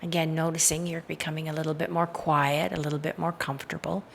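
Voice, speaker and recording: A woman with a low voice leads a guided meditation. Her delivery is soothing, with creaky fry as phrases trail softly away. A faint layer of background noise sits under the recording. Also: level tone, quick pace. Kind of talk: guided meditation, hypnotic induction.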